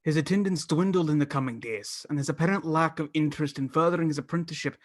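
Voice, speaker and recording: Fairly high-voiced theatrical horror host played by a man. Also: quick pace, close to an everyday voice. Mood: solemn. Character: courtly, theatrical male horror-show count narrating a tale. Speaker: host and narrator. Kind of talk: scripted radio play narration.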